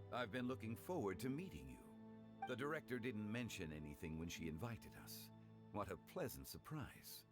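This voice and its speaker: A man playing a composed, erudite gentleman in a video game, speaking with formal, measured eloquence. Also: fairly low pitch. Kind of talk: game cutscene acting. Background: background music.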